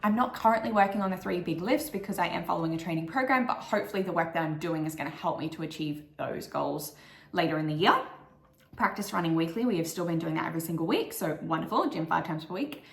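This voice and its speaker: A young Australian woman talks through her goals in a chatty, upbeat vlog, her voice croaky from the morning. She sits fairly low for a woman. The sound bounces around an echoey room. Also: fast. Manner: chatty and upbeat, with frequent "like" fillers. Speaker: vlogger. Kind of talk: casual monthly goals vlog.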